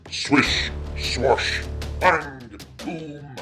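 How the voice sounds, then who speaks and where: A huge, menacing giant from a folktale. The words come very slowly, drawn out into a taunting chant. Music plays underneath. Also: male voice, a little high. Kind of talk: theatrical folktale storytelling.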